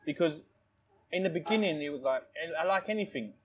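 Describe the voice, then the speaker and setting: A man's voice: on the high side, lively intonation, a little fast, a little hiss or hum. A man telling stories casually in conversation, rambling and repeating phrases, with frequent "you know". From born in Thailand, lives in London. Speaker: restaurant owner, interview guest.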